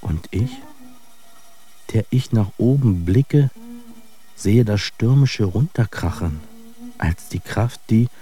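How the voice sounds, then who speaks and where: A man's voice: fairly low pitch, animated, slow, long pauses, dark tone, slightly rough, full character voice. An actor playing a brooding dreamer who questions his own senses in a poetic radio drama monologue. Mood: brooding, wondering. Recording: faint music under the voice. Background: faint music.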